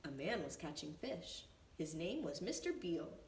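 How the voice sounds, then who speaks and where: A woman reading a storybook aloud for young children as a preschool teacher, with expressive, deliberate phrasing. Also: low voice. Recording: echoey room, distant mic, faint noise.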